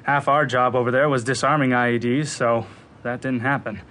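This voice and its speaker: An actor playing a cocky, easygoing bomb-disposal expert in an audio drama, wisecracking and excitable with a breezy manner. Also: male voice, medium pitch, moderate pace, close to an everyday voice. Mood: relaxed, wry.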